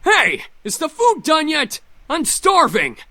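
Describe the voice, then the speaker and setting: Brash, forceful young guy. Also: extremely high pitch, wildly swooping pitch, slow pace, no room sound, exaggerated voice. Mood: irritated yelling. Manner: gruff, barking. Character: brash, loud, impatient young man. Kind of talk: visual novel voice acting.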